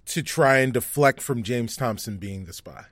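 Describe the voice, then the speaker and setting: A man's voice: medium pitch, moderate pace, fairly bright tone. A man talking casually and a little hesitantly as a panelist on a game show. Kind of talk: casual chat.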